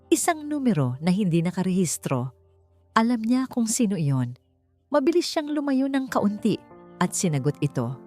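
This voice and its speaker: Low-pitched woman narrating a love story. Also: a little mellow. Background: faint music.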